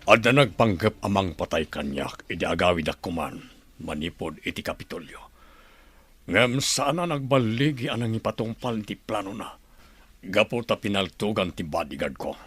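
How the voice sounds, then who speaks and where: A stern, demanding governor in an Ilocano radio drama. His voice is low and husky, with a Filipino Ilocano accent. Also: animated, normal speed, a bit bright. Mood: grave.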